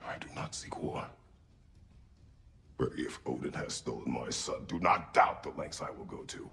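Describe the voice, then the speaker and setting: An actor playing a grim, stern god and father in a video game, terse and commanding with a gravelly voice. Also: very deep voice, normal speed, a bit bright, in a whisper, exaggerated voice. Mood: stern, threatening. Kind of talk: dramatic video game acting.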